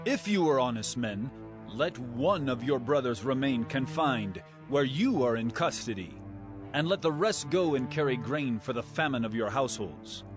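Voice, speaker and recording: An actor playing a stern, accusing governor of Egypt in a dramatized scripture recording, commanding and deliberate. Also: male voice, medium pitch, expressive, close to an everyday voice. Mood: firm, commanding. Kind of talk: dramatized scripture audio acting. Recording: music behind the voice.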